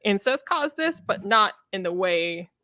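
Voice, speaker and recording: Bright-voiced woman chatting as podcast co-host. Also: medium pitch, very animated, measured pace, dry recording, studio quality. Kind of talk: casual book discussion chat.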